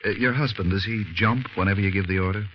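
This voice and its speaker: A man acting a terse, cynical schemer in a 1940s radio noir. His voice is low and dry, on a muffled vintage recording with faint hiss. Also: quick pace, lightly acted. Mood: guarded, cynical. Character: curt, cynical, broke man, a scheming heel.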